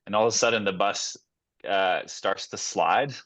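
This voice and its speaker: A young man, a guest actor on a podcast, telling stories in a relaxed, casual way with many fillers and restarts. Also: fairly low pitch, normal speed, dry recording.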